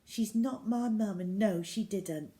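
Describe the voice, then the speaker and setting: A woman's voice: medium pitch, a little slow, dark tone, natural voice. A woman voicing a plucky, determined young girl, earnest and insistent, in a children's storybook reading.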